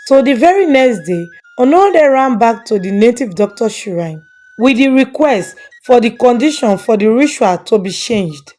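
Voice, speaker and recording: A woman narrates a scripted moral folktale. Her voice sits fairly high, with music playing quietly behind her. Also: expressive, normal speed. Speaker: storyteller.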